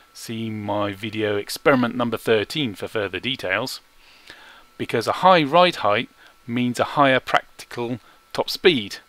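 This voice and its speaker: A man giving measured, explanatory video game commentary in a self-deprecating way, recorded as a close-mic voice-over. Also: mid-range voice, a bit bright, no echo. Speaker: gaming video creator.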